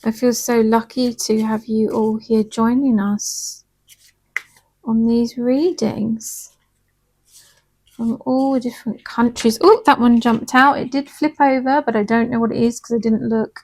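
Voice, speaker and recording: Woman card reader, a little hoarse, pitched a touch high, gentle and chatty with listeners. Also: steady tone, unhurried, poor audio. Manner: soft, chatty, reassuring, checks in with "okay?". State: slightly hoarse from singing. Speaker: tarot and angel card reader. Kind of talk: casual spiritual card reading.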